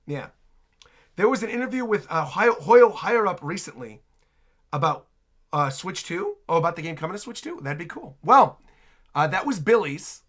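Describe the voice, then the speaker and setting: A man giving casual reaction commentary, excitable and often repeating himself for emphasis. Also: high-pitched. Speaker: reaction video host.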